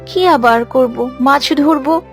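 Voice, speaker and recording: A woman plays a plucky young girl from a poor village in a cartoon. The voice is high, earnest and resolute, over a light music bed. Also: takes it slowly. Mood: determined. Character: brave, earnest young daughter of poor fisherman. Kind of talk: cartoon dialogue.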